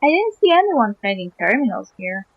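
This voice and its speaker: A woman's voice: a little high, very animated, fairly quick, slightly rough, no room sound. A woman streamer giving casual, opinionated and irreverent commentary on a game, close to a slightly dull microphone.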